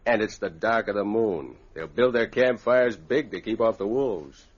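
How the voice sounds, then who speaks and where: Low-voiced, menacing outlaw boss. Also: male voice, moderate pace, breathy edge. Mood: scheming. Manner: bossy, curt, menacing. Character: ruthless, gloating male outlaw gang leader. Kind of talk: old-time radio adventure acting. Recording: muffled vintage radio recording.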